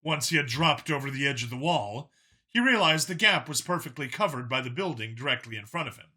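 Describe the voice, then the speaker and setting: Bright, forceful male story narrator. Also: mid-range voice, animated, a little fast. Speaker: audiobook narrator. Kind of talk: scripted dramatic story narration.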